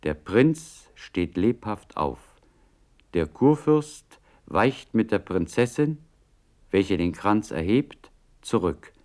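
A male narrator with a lowish voice reads stage directions for a radio drama. His delivery is slow and scripted. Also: very animated, a little mellow.

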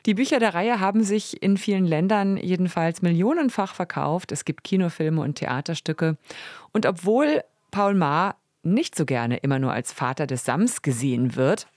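A woman's voice: sits low, darkish tone, no echo, studio quality. A woman presenting a podcast as host, reading scripted radio narration.

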